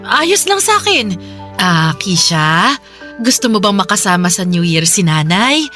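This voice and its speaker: Bright-voiced, pleading mother. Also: mid-range voice, very animated, unhurried, faint music behind. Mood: hopeful, pleading. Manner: emotional, coaxing, imploring. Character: pleading, remorseful mother longing for her young child. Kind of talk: Tagalog radio drama acting.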